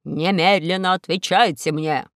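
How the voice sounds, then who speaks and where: A woman's voice: lowish voice, fairly even intonation, measured pace, no room sound, studio quality. A woman voicing an indignant, demanding man with a blustering, insistent manner in a children's story. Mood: angry, demanding.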